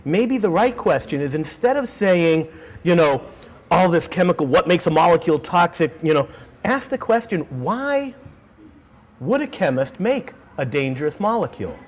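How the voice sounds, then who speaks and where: Animated Boston man giving a keynote. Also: on the high side, moderate pace. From Boston, United States. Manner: animated, repeats words and restarts often. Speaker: keynote speaker. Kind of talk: energetic lecture to students. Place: auditorium.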